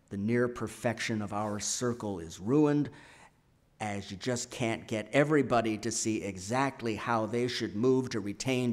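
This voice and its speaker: Male, 60s, church sermon read at a lectern. Unhurried, measured delivery with emphatic pauses, mid-range pitch. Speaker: speaker presenting a sermon at a church. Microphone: gooseneck lectern microphone.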